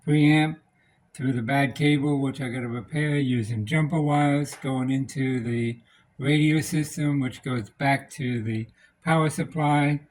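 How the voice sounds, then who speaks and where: A man with a mid-pitched voice presents a repair in an offhand, unscripted way. He is plain-spoken and practical, working out his thoughts aloud as he goes. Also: fairly even intonation, unhurried, a little mellow, poor audio. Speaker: electronics restorer presenting a repair demonstration. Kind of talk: casual unscripted demonstration.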